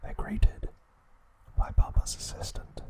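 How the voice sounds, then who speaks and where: A man hosting ASMR, narrating a recap in a breathy, hushed whisper with short, halting phrases. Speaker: ASMR host.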